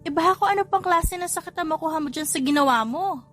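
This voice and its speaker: A woman voicing a caring young mother, gently chiding and concerned, in an audiobook story. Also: extremely high pitch, fast, faint music behind, pitched above the performer's own voice. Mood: concerned scolding. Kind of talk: audiobook character voice in a family romance story.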